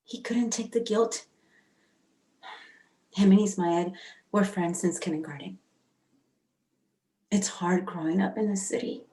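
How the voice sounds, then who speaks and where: Casting: actress as sympathetic neighborhood woman, mid-range voice, gentle, table-read drama, echoing room. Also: medium pitch, measured pace, long pauses, natural voice. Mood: somber. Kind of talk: screenplay table read acting. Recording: echoey room.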